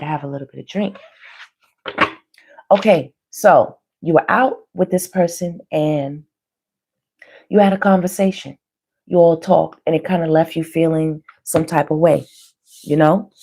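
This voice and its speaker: A hoarse woman giving a casual, conversational tarot reading to online viewers, speaking colloquially and often checking in with her listeners. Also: sits low, warm tone. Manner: colloquial, frequently checking in with "you know" and "okay". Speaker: tarot reader hosting a live online reading.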